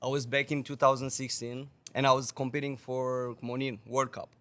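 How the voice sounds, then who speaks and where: A man's voice: mid-range voice, normal speed. A young man from Romania, a guest bartender, telling stories on a podcast in casual, swearing, laughing speech. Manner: animated, swears a lot, laughs often.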